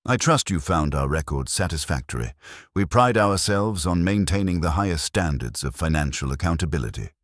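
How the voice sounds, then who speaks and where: A man's voice: low-pitched, animated, brisk, no echo, studio quality. A man voicing a prim, defensive woman who chairs a civic society, formal and precise, in a storybook mystery. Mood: determined cheer. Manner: formal, determinedly composed, precise. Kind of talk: storybook mystery character reading.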